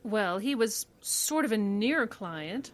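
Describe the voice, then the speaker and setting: A woman's voice: medium pitch, lively intonation, slow pace. A woman playing a composed, kindly, good-humored lawyer in an old-time style radio play.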